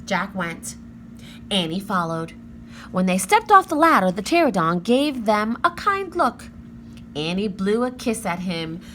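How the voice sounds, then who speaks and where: Animated woman reading a children's story. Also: mid-range voice, a little slow, a little hiss or hum. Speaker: storybook reader. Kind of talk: expressive children's book narration.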